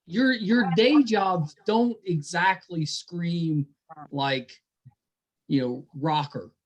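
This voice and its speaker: A man's voice: high-pitched, very slow. A man hosting a podcast, chatting casually as he interviews musical guests. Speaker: podcast host interviewing a band.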